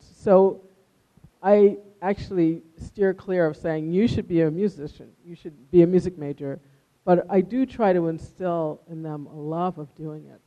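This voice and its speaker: A man giving reflective, conversational interview answers as a musician and music teacher, on a dull, lo-fi recording. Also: a little high.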